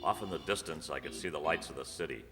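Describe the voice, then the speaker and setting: Man acting a low-voiced hard-boiled detective, echoey hall. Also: quick pace. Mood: grim narration. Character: tough undercover police detective posing as a drug buyer. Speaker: actor and first-person narrator in a radio drama. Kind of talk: old-time radio crime drama acting. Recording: echoey hall, music behind.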